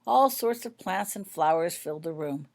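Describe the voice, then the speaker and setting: Lower-pitched woman narrating a story. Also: lively intonation, moderate pace, a little mellow. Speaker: author reading own fan fiction.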